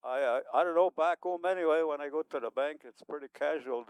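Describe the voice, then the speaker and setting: A man's voice: fairly high pitch, brisk, clean tone, no echo. A man in his seventies giving rambling, unscripted remarks at a community event, chuckling often as he talks. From Manitoba, Canada. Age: 70s.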